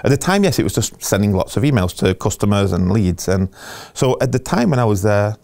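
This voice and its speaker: A man in middle age talks through his working life in a relaxed interview. His voice sits a bit low, and he tells his stories with energy. He stops and restarts often and slips into the voices of the people he quotes. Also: fairly quick, vocal fry. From England. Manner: animated storytelling, acts out quoted dialogue, frequent restarts. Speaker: guest. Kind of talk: casual interview conversation.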